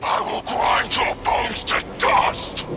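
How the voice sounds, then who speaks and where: A man playing a fierce, vengeful super-soldier warrior in a video game, forceful and intense. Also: slow pace, close to an everyday voice. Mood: enraged, defiant. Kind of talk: dramatic video game voice acting. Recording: loud music and machinery behind.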